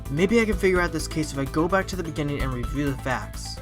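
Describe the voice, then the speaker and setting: A man acts an earnest fox defence lawyer in a courtroom audio drama. His voice sits a bit high and he argues with deliberate confidence. Music plays clearly underneath. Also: lively intonation, natural voice. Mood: pondering. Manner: deliberate, reasoning aloud.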